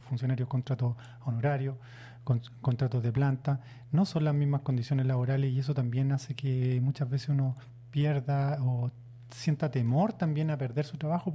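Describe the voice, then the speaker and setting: Man, very dark mellow voice, measured, hesitant fillers, psychologist guest. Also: medium pitch. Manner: measured, many "eh" fillers and "¿no es cierto?" tags. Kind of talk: explanatory radio interview.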